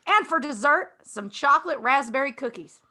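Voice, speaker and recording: A woman's voice: high voice, expressive, normal speed, sharp tone. A woman in casual podcast chat and comic reading, with a wry, teasing manner. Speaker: podcast guest and reader.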